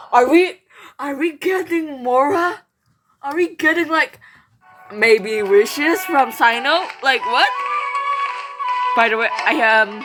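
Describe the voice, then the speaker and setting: Young woman streaming a game, higher voice, takes her time, rambles playfully, game music behind. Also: poor audio. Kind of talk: casual gameplay commentary.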